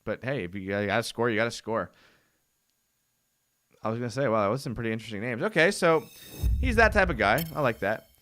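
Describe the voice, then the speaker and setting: A young man with a smooth, clean voice at a middling pitch, chatting loosely on a live stream. He rambles in a relaxed way, full of "dude" and "I mean," and his voice sounds worn down from a long session of talking. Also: quick pace, pauses often. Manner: laid-back, rambling, frequent "dude" and "I mean". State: voice going hoarse from long talking. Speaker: host of a live fan show. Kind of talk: casual live-stream chat.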